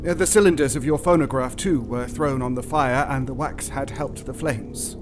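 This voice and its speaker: An actor playing a shaken, sombre Victorian gentleman giving a grave, hesitant account in an audio drama. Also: mid-range voice, normal speed, over music, natural voice.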